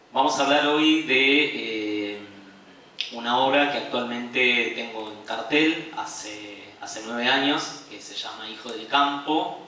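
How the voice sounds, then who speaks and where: A man from Patagonia with an Argentine accent gives a conference presentation in a halting manner, searching for his words. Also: mid-range voice, pushed and bright. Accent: Argentine Spanish. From Patagonia, southern Argentina. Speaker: playwright and director giving a conference talk. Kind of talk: conference presentation, semi-spontaneous. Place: echoey room at a conference. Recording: very echoey hall, distant mic, faint noise.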